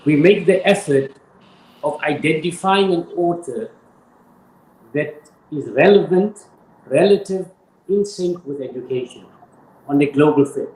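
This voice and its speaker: A man hosting an online reading circle, speaking in a measured, oratorical way with religious greetings. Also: a little high. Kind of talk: formal spoken introduction and interview. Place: indoors with a view of the harbor. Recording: roomy echo with faint background hiss.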